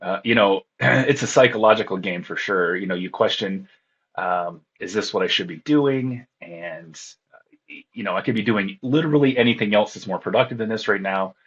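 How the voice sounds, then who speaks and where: A man with a husky voice, speaking casually as an interview guest and recounting his experiences. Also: fairly low pitch, fairly quick, a bit bright, highs cut off. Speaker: interview guest, ham radio contest operator.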